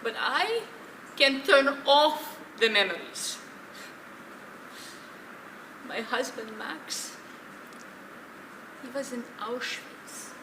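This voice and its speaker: Stage drama role: woman playing wounded, enduring old widow, high voice, slow deliberate delivery, echoey distant recording. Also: lively intonation, full character voice. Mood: pained, sorrowful. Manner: deliberate, emotional storytelling. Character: elderly widowed Holocaust survivor, wounded, plain-spoken, enduring woman. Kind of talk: stage play acting. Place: theatre stage, distant mic. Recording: echoey stage from far off, noisy.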